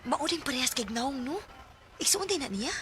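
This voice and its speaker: An actress with a Cebuano Filipino accent playing a chatty, encouraging friend and fellow teacher in a radio drama. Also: female voice, sits high, big pitch swings, moderate pace, fairly bright tone, a little hiss or hum. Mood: teasing, friendly. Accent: Filipino, Cebuano-speaking. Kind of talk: Philippine radio drama acting.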